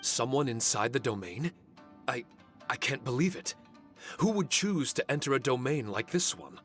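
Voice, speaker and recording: A man voicing a gentle, humble spirit who has lost his memory, speaking earnestly and hesitantly in a video game cutscene. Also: mid-range voice, lively intonation, normal speed, faint music behind, lightly acted. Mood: wondering.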